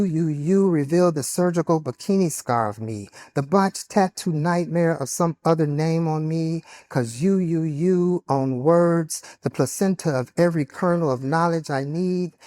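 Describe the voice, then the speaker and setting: Slow, deliberate male poet reading aloud. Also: on the high side, mellow. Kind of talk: poetry reading. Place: literary conference panel.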